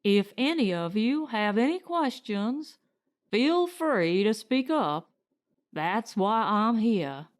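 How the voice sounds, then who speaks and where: A woman's voice: a little high, sing-song, slow. A woman voicing a weathered middle-aged male colony director in a storybook, frank, formal and matter-of-fact.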